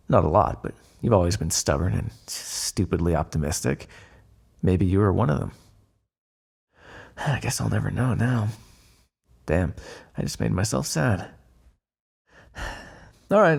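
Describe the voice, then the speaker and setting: Casting: male actor for a smug vampire in an audio roleplay, low husky voice, taunting, predatory, secretly fond. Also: lively intonation, normal speed, long pauses, darkish tone, exaggerated voice. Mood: wry, wistful. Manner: sardonic, taunting, drawling on key words. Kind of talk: ASMR roleplay monologue.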